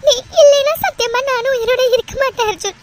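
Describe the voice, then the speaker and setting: A woman's voice: very high-pitched, fairly even intonation, quick pace. A woman playing a distraught, devoted young woman in an audio drama, crying and pleading over her injured beloved. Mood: desperate, tearful devotion. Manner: tearful, pleading, repetitive begging. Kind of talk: melodramatic Tamil audio drama acting. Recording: noisy recording with clicks.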